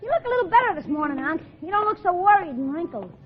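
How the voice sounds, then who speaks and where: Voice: very high-pitched, sing-song, quick pace. An actor playing a brash, wisecracking young nephew in old-time radio comedy. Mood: teasing. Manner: cheeky, brash. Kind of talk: 1940s radio comedy acting. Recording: muffled, hissy old radio recording.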